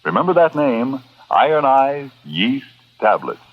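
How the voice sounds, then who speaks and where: A man's voice: medium pitch, sing-song, measured pace, husky. A man reading a 1940s radio commercial as an announcer, with polished, emphatic salesmanship. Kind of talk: 1940s radio advertising pitch. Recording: muffled old radio recording.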